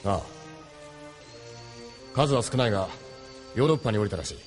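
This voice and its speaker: A man's voice: lowish voice, expressive, a little fast, lightly acted. Easygoing spaceship captain in long reverb played by a man. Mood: grave, resigned. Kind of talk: Japanese anime audio drama acting. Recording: long reverb, faint music behind.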